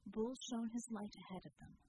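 Mellow, husky female audiobook narrator. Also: on the high side, huge pitch swings, fairly quick, poor audio. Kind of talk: scripted dramatic fiction narration.

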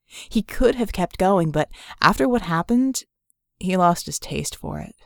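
A woman voicing a cynical, haunted male metahuman ex-thief in an audiobook, telling his past in a wry, measured way. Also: fairly low pitch, lively intonation, gravelly, no room sound, clean studio sound. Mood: wry, reminiscing.

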